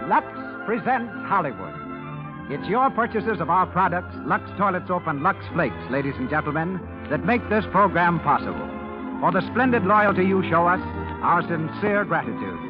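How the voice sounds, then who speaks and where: A male announcer delivers formal sponsor copy in a polished, declamatory style. His pitch is high and lively. The recording is dull 1930s radio with music behind. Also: moderate pace.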